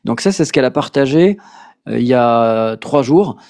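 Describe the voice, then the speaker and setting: A man's voice: medium pitch, expressive, moderate pace, darkish tone. A man hosting a casual political chat, talking hesitantly with many fillers and restarts. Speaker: podcast host.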